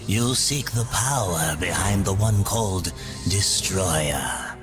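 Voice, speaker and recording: A man's voice: low-pitched, expressive, slow. A man playing a sly, greedy demon merchant in a video game cutscene, speaking in a theatrical, insinuating and mocking way. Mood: scornful. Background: music.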